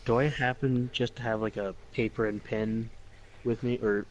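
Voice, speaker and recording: Male game player, medium pitch, informal and conversational. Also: fairly even intonation, moderate pace, a little hiss or hum, rough recording. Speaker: player in a tabletop role-playing game. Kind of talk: casual chat during a game.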